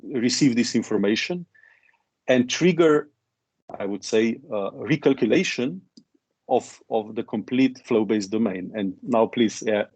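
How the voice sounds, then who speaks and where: A man's voice: mid-range voice, a little mellow, breathy edge, no echo. A man presenting in a conference call, explaining a process step by step with frequent restarts. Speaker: meeting presenter. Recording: dry conference call audio.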